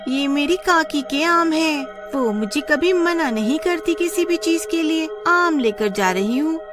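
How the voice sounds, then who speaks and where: A woman's voice: sits high, measured pace, voice pushed up. A woman voicing a cheeky village woman in a cartoon horror story, exclaiming in a singsong way. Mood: defiant. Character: cheeky, greedy village woman who turns frightened. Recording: music playing behind the voice.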